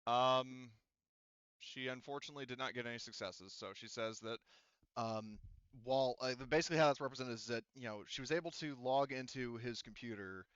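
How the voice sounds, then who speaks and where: A man's voice: medium pitch, a little fast, fairly bright tone, smooth, no echo, highs cut off. A man acting as game master, explaining rulings and story in a rambling way with lots of fillers. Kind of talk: narrating a tabletop game.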